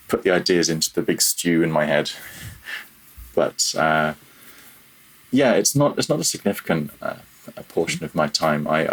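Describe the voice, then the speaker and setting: A man's voice: low voice, slightly rough, low-quality recording. A man speaking as a guest in a casual technical interview, thinking aloud and often correcting himself mid-phrase. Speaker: guest, audio programmer.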